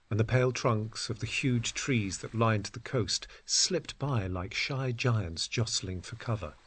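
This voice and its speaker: Low, husky male storyteller. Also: fairly bright tone. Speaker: narrator. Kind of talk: dramatic literary story narration, acting out dialogue.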